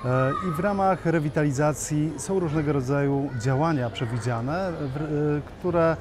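A man in his thirties explaining a local project in measured interview answers, on a lapel mic in a shopping mall. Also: on the high side. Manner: measured, explanatory, hesitant fillers. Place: shopping mall seating area, indoors. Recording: mall noise behind.

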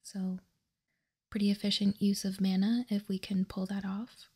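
A woman whispering softly and breathily in an ASMR style, calm and unhurried, as she hosts a card walkthrough. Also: mid-range voice, breathy edge. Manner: calm, unhurried, reading out and commenting. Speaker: host of a card game ASMR channel.

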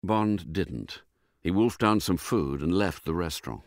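A man's voice: sits low, animated, unhurried, no echo, studio quality. A man reading scripted narration for a radio drama.

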